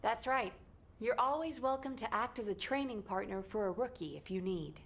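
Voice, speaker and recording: An actress playing a composed female squad leader who gives firm, measured commands. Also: fairly high pitch, a bit bright. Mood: commanding.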